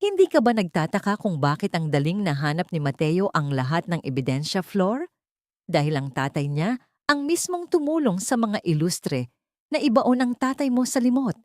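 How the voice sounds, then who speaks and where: A woman's voice: lowish voice, very animated, moderate pace, no room sound, studio quality. A Filipino woman voicing a bitter, vengeful fallen rich woman in an audio drama, taunting and accusing. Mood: accusing, venomous.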